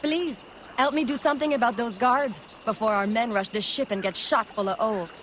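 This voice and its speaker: A woman's voice: fairly high pitch, moderate pace, fairly bright tone, a little hiss or hum, lightly acted. A woman playing a bold, wry female smuggler leader in a video game, in a brash manner. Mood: urgent. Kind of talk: video game cutscene acting.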